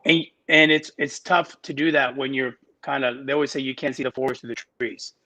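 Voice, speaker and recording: A middle-aged man from Miami tells his story on a podcast, his voice bright and forward. He talks casually and halts often, restarting phrases as he goes. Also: medium pitch, fairly even intonation, brisk. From Miami, United States. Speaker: podcast guest.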